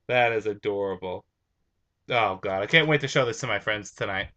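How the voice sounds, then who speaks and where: Young male vlogger, bright and a touch raspy, nasal from allergies, loose and rambling. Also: fairly low pitch, normal speed, lo-fi sound. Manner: rambling, restarts. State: stuffed up from allergies. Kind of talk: casual vlog chat. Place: at home.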